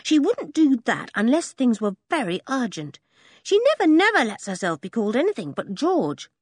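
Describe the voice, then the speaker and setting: A woman reading an audiobook voices a sensible young boy who speaks in a brisk, decisive way. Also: a little high, wildly swooping pitch, husky, dry recording, clean studio sound, voice pushed up, heavily acted. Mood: urgent.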